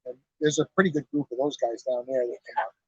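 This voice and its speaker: A man's voice: mid-range voice, fairly even intonation, fast, warm tone, husky, dry recording. A middle-aged man, president of a car club and owner of a garage, chatting in an easy, folksy way during an outdoor interview at an evening car show. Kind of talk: casual on-site interview. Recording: dull lo-fi live stream sound.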